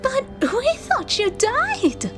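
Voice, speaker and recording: A woman playing a spirited companion of a time traveller, expressive, in a fan audio drama. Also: extremely high pitch, takes it slowly, full character voice. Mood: startled, puzzled. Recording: music behind the voice.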